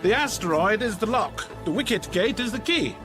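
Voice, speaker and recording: High-pitched fussy old alien man. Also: lively intonation, moderate pace. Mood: fussy, flustered. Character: fussy, flustered elderly male alien planet designer. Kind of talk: radio comedy drama acting. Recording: music under the voice.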